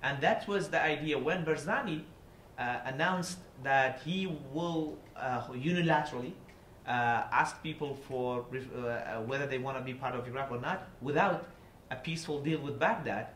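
A man's voice: mid-range voice, normal speed, fairly bright tone. Man in an echoey room. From Iraqi Kurdistan. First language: Kurdish. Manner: earnest and explanatory, with frequent restarts. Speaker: guest speaker. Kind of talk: public talk. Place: university seminar room. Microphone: table mic from a seated distance. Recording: echoey room with faint noise.

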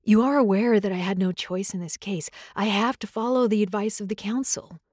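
A woman voicing a young male alpha werewolf who speaks softly and apologetically, with halting restarts, in an audiobook. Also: mid-range voice, no room sound, clean studio sound, natural voice. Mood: apologetic. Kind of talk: audiobook character voice.

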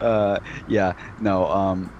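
Male podcast guest, deep-voiced, halting and apologetic, on a slightly noisy voice call. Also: little pitch movement, darkish tone. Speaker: podcast guest, web series co-director. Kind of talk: casual podcast chat. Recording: dull, faintly noisy call audio.

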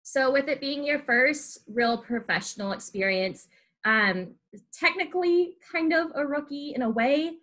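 A woman's voice: high voice, animated, a little slow, fairly bright tone. A woman in her twenties hosting a podcast, chatting informally and often saying "like" and "y'all". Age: 20s. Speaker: podcast host. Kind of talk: casual chatty interviewing.

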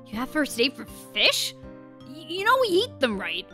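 A woman voicing a caring, earnest penguin secret agent in a cartoon game story, speaking gently and casually. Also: high voice, wildly swooping pitch, normal speed, soft background music, exaggerated voice.

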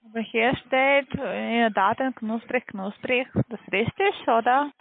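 Narrow phone line, higher female voice, foreign accent, non-native speaker, sales call. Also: unhurried, creaky, dry recording. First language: not German. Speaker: call center investment saleswoman.

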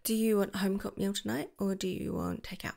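A young woman doing a girlfriend roleplay to the listener, soft and affectionate, giggling and slipping into whispers. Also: mid-range voice, level tone, fairly quick, creaky. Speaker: ASMR roleplay creator.

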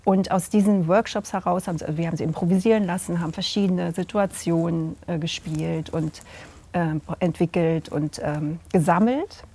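A middle-aged woman, a theatre director and drama teacher, answering interview questions in an animated way, often pausing to search for words. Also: fairly low pitch, slightly rough. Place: indoor room with curtains.

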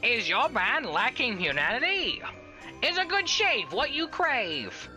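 A woman's voice: on the high side, very animated, unhurried, bright, forceful tone, faint music behind. A woman announcing a playful rhyming parody radio advertisement.

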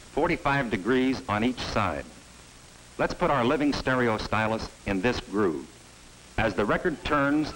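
A middle-aged man presenting a vintage promotional film in a studio, giving a measured, emphatic sales pitch. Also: rough recording. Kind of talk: scripted promotional narration. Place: studio set. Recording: old film soundtrack with faint music.

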